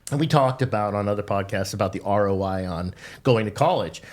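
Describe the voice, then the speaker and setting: A man's voice: on the low side, fairly quick. Earnest man in his fifties hosting. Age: 50s. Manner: earnest, lecturing, wry teasing. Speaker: podcast host. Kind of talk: casual chat. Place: dim studio, indoors. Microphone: desk mic.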